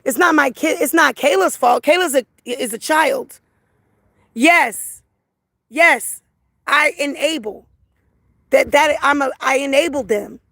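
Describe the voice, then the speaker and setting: Hoarse, high-voiced woman, teary and raw. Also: slow, pauses often, fairly bright tone, dry recording, studio quality. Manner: raw, emotional, repeats herself. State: hoarse from crying. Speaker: livestream host. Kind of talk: emotional livestream talk to viewers.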